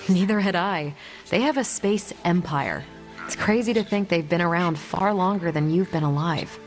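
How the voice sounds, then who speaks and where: Lowish-voiced, self-assured American superhero woman played by an actress. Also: normal speed, soft background music, lightly acted. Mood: frank, reflective. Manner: wry, casual, self-assured. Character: confident, easygoing superhero woman, ex-Air Force pilot. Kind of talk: video game dialogue acting.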